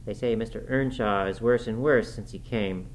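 A man's voice: lowish voice, moderate pace, a little hiss or hum, close to an everyday voice. A man voicing a plain-spoken, sensible housekeeper woman, earnest and cautioning, in a storybook reading. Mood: admonishing.

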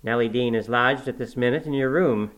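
A man's voice: mid-range voice, brisk, a bit bright, lightly acted. Guy playing a scheming house master villain, curt, cold and sardonic, audiobook style. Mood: sardonic, commanding. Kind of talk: audiobook character acting.

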